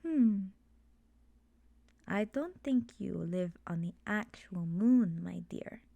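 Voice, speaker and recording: A woman voicing an ancient, immortal swamp witch in an ASMR roleplay, soft-spoken and often whispery, gently knowing and wistful. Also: medium pitch, expressive, slow, gravelly, no room sound. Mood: curious, gentle.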